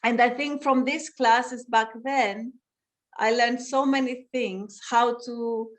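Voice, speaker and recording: A woman from Greece, a dancer and dance teacher, answering interview questions over a video call and often pausing to search for words. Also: fairly high pitch, fairly even intonation. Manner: thoughtful, searching for words, frequent restarts.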